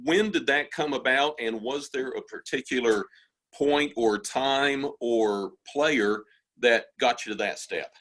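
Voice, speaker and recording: A man's voice: mid-range voice, slow pace, a bit bright, low-quality recording. A man hosting a video call interview, asking long, rambling questions with hesitations. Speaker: associate athletic director hosting the series.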